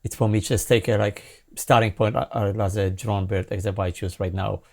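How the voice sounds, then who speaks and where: Middle-aged man, low creaky voice. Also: steady tone, darkish tone. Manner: halting, informal, rambling. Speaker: sound designer demonstrating a software synth. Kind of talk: casual product demo. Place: small home music studio, indoors. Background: synth sounds and music.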